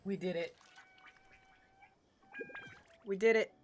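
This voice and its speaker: A woman's voice: mid-range voice, slow pace, darkish tone, a little hiss or hum. A woman doing casual gameplay commentary as a streamer, informally muttering and thinking aloud. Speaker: game streamer. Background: game music.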